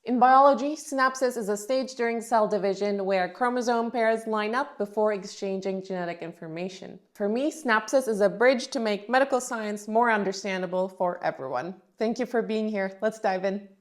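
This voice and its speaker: A young adult woman from Turkey, a biomedical scientist hosting her own podcast, reading a scripted science explainer in a clear, measured way. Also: mid-range voice.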